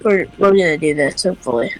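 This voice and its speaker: A woman's voice: low voice, expressive, fast, faint music behind, rough recording. A congested woman hosting a casual chat, rambling and hesitant, with lots of fillers. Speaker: host.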